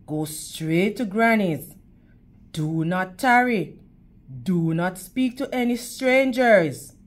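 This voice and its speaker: A woman's voice: mid-range voice, animated, takes it slowly. A woman playing a caring but firm mother in a storybook, instructing her child. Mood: firm warning. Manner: gently instructing.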